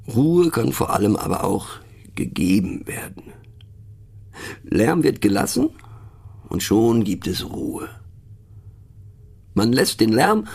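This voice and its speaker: An eccentric, mocking male narrator of a radio monologue, talking confidingly to the listener. The husky voice moves slowly and haltingly, swinging widely in pitch and often sinking toward a whisper as he muses and doubts himself. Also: a little mellow, exaggerated voice. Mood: musing, hushed. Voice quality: gravelly, husky. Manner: conspiratorial, halting, often dropping to a near whisper.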